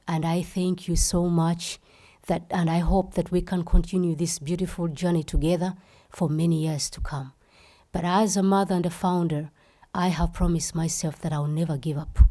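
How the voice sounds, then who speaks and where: Warm-voiced woman giving a passionate speech. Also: lowish voice. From Uganda. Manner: emphatic and heartfelt, repeats phrases for stress. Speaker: festival founder giving a speech. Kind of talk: impassioned reception speech.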